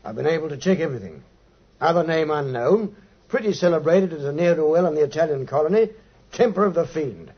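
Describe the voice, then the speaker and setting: An actor with an English accent playing a bluff, sceptical, good-natured police inspector with a homely, plain-spoken manner in a 1950s radio drama. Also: male voice, fairly high pitch, lively intonation, brisk, slightly rough. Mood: bluff, grudging. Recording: dull 1950s radio recording.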